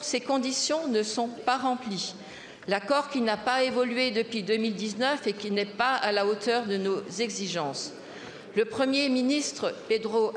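An older woman, a member of parliament, formally reading her question from a sheet into a gooseneck mic. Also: on the high side. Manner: measured, reading aloud from a sheet. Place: large parliament chamber. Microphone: gooseneck desk mic. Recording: roomy echo with long hall reverb.

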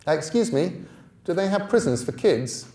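A man's voice: sits high, big pitch swings, normal speed, mellow, pitched above the performer's own voice. A man voicing an earnest, defiant young boy with a plain, protesting manner in a storybook reading. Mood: worried. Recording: roomy stage sound, distant mic.

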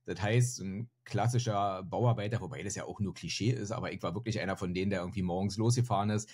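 A man's voice: deep voice, fast talker, a little mellow, breathy edge. A man in his forties from northern Germany, a podcast guest chatting casually and self-deprecatingly with lots of fillers, recorded over a video call with headphones on. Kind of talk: casual interview talk. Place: home room, indoors. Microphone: headset on video call.

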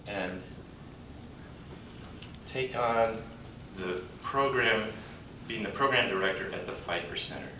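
An older man speaks from a podium in a big conference hall, his lowish voice folksy and broken by pauses. He is picked up from far off on a room recording, with the hall ringing around him and a steady rumble underneath. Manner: folksy, warm-humored, halting with pauses. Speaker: conference speaker introducing a colleague. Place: large conference hall, indoors. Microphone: podium mic, recorded from afar by a computer. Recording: distant echoey hall recording with background rumble.

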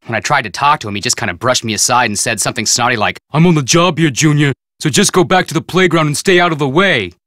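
An actor voicing a hot-headed, sulky teenage boy detective in a video game, excitable and sarcastic, with an American accent. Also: medium pitch, fast talker, few pauses, dry recording, studio quality, natural voice. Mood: eager, indignant. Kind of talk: video game character acting.

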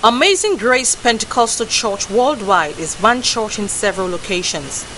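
A woman with a Nigerian accent reading a formal television news report in a measured way. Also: medium pitch, animated. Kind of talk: scripted narration. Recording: voice-over with clear hiss.

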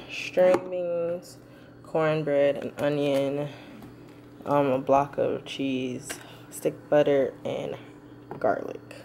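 A woman in her twenties casually narrating her cooking in a small home kitchen, rambling with many ums, filmed on a handheld camera. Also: sits low. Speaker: vlogger. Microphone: handheld camera, close and moving. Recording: noisy kitchen with frying sounds.

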